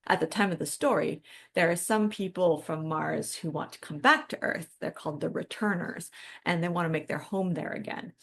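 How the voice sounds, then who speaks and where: A woman with a lowish voice and a noticeable creak co-hosts a podcast. She chats informally and reflectively, thinking her points through as she goes. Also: moderate pace. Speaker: podcast co-host. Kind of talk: casual podcast discussion.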